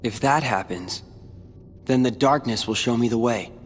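An actor playing a calm, determined teenage boy hero in a video game, with a dry, brooding way of talking. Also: medium pitch, normal speed, natural voice. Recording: game audio with reverb and loud music.

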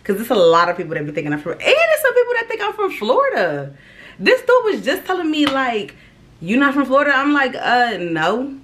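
A young woman in her twenties from Ohio, telling her story to viewers in a casual, animated vlog and calling them "y'all". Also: sits high, a little fast. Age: 20s. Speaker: vlogger. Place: small indoor room.

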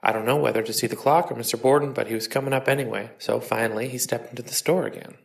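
A plain working man testifying in an 1890s trial drama, acted by a man. His mid-pitched voice is full of heavy vocal fry. He gives careful, deferential, literal answers. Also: steady tone, fast, lightly acted. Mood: careful, firm. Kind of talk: dramatic reading of a trial transcript.

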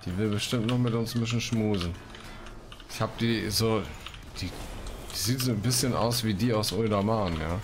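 Ein Mann kommentiert locker und scherzhaft sein Spiel, murmelt vor sich hin und liest Quests vor. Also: male voice, on the low side, moderate pace. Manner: joking, muttering to himself. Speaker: Let's Play host. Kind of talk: casual gaming commentary with read-out quests. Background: game music.

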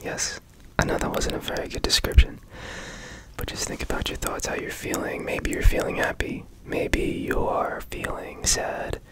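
A man guiding a meditation in ASMR style, in a soft breathy whisper with gentle, soothing instruction, close to the microphone. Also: normal speed, poor audio. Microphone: close studio microphone.

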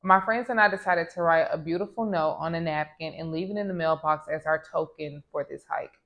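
Woman, 30, from the American South; casual chatty travel vlogger, lower-pitched voice, home room on a lapel mic. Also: brisk, dull audio. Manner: chatty, direct to camera. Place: small home room with plants.

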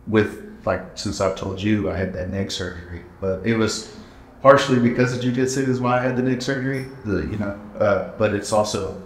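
A man in his thirties from Texas, a gym owner answering interview questions in a plain-spoken, halting way with many fillers. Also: low voice, slight background noise. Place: large indoor gym. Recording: echoey gym room.

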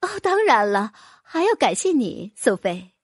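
A woman's voice: on the high side, big pitch swings, unhurried, breathy edge, no room sound, studio quality, exaggerated voice. A woman voicing a fussy, fawning rich noblewoman who gushes with exclamations. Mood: fawning, gushing. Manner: gushing, exclamatory, wheedling.

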